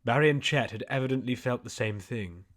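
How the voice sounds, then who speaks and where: A man's voice: mid-range voice, a little fast. A male audiobook narrator with a mid-range voice. He reads scripted comic fantasy prose as a storyteller.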